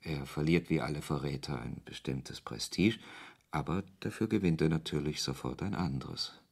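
An actor playing a reflective author who narrates his own tale in a German radio drama, in a measured, literary manner. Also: very deep voice, vocal fry, slightly rough, full character voice. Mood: knowing, confiding.

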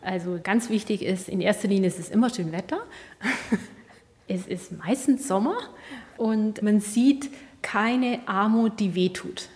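Female curator, medium-pitched, unscripted guided-tour explanation, recorded in a big reverberant museum room. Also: a little slow, a little mellow, soft background music. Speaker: exhibition curator, interviewee. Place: large indoor museum gallery. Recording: very reverberant gallery hall.

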